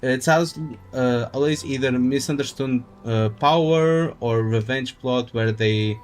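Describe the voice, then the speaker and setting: A man's voice: medium pitch, a little slow, a little hiss or hum. A man doing casual, musing live commentary as he streams a game, rambling and swearing casually.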